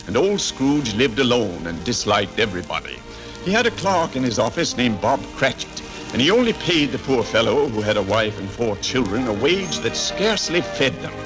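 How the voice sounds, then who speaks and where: An actor narrating as a dramatic, disapproving storyteller in old-time radio style. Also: male voice, medium pitch, sing-song, normal speed, over music, natural voice, low-quality recording. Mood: dramatic, storytelling. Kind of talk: 1940s radio acting, scripted narration.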